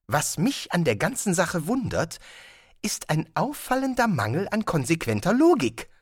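A man's voice: high-pitched, huge pitch swings, normal speed, breathy edge, dry recording, studio quality, pitched above the performer's own voice, heavily acted. A man voicing an earnest, naive tourist in an audiobook. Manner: eager, plaintive. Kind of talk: audiobook character voice.

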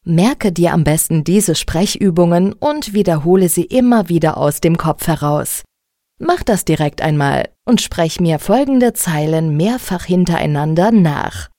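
Slow, precise German-speaking woman coach. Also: lowish voice, lively intonation, warm tone, no room sound, studio quality. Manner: slow, deliberate, clearly articulated instruction. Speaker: speech coach. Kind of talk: instructional speech exercise tutorial.

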